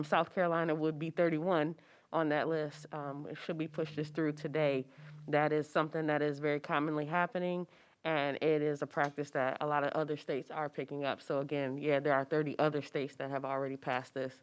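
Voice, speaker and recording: Low, monotone female committee member, hesitant. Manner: flat, hesitant delivery with many "um"s. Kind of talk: remarks at a legislative hearing. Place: legislative committee room.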